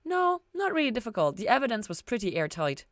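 Woman acting bubbly female friend, chirpy, eager, swooping pitch, audiobook-style, dry sound. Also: sing-song, fairly quick, dry recording. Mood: bright, chirpy.

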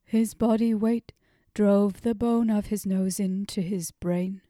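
A woman reading puts on a grief-stricken father in an old-fashioned fantasy tale. He talks formally and stops short, as if the words won't come. His voice is mellow and unhurried, heard close and dry. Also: medium pitch, steady tone, no echo, lightly acted. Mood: grieving. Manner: halting, formal speech. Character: grieving middle-aged father, proud host.

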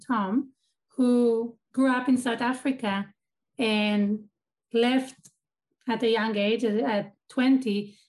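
A woman's voice: fairly high pitch, darkish tone, poor audio. A woman from Israel whose first language is Hebrew, an academic and activist giving thoughtful interview answers and often restarting her sentences.

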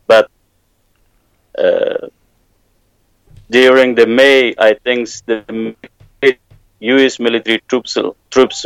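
A man with an Afghan accent, a guest in an interview, answering slowly and haltingly as he searches for English words. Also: medium pitch, clean tone, no room sound, low-quality recording. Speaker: security worker, guest. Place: Kabul.

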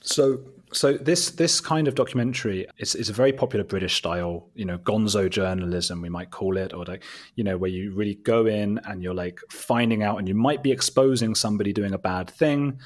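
A man with a husky voice, a guest telling casual, rambling anecdotes in a conversational, informal way, often interrupting himself. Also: on the low side, fairly quick. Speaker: interview guest, documentary maker.

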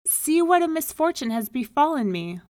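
A woman's voice: a little high, a little slow, a little mellow, no echo, studio quality. A woman voicing a poor, frantic man in a storybook, pleading and exclaiming melodramatically. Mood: desperate pleading. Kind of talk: storybook read-aloud character.

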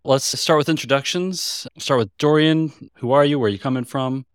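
A man's voice: mid-range voice, moderate pace, clean tone, no room sound. Man hosting, dry studio sound. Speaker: podcast co-host, senior developer. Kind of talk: scripted intro and casual interviewing.

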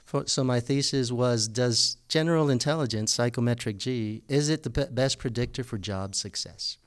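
A man's voice: mid-range voice, normal speed, clean tone, dry recording, studio quality. An American man chatting as a guest, explaining things at length and laughing often. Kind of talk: casual interview chat.

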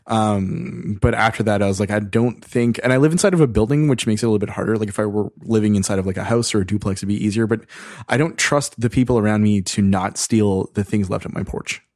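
A man's voice: on the low side, a little mellow, dry recording, clean studio sound. A man co-hosting a casual tech podcast, joking and wry as he talks through the news. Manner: wry, joking, quick asides. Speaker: podcast co-host.

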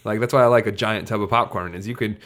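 A man's voice: lowish voice, steady tone, fast talker, fairly bright tone. A man co-hosting a sports podcast in a casual, opinionated, self-deprecating way. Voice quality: slight trouble saying hard NG sounds.

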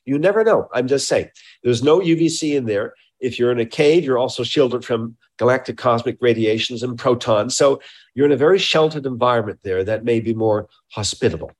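A man speaking as an expert interviewee, explaining informally and haltingly, often repeating words and qualifying what he says. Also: medium pitch, husky. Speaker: scientist being interviewed.

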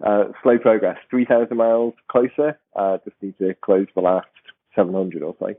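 A man hosting a podcast, with a croaky voice and a rambling, restarting way of talking. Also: lowish voice, little pitch movement, normal speed, no room sound. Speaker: podcast host. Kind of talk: casual podcast chat. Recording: tinny phone-like line.

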